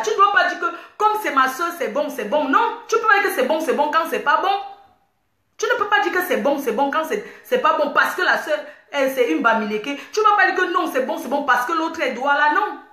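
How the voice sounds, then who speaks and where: A woman's voice: sits high, bright, forceful tone, echoey room. A woman, talking passionately and repetitively to her online followers in a casual livestream. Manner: impassioned, repetitive, preachy. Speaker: online community host.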